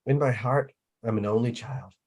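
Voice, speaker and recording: A man's voice: medium pitch, normal speed, a little mellow, natural voice. A man playing a geeky, vulnerable young older brother, awkward, hesitant and hopeful, in a sitcom table read. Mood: resigned, wry.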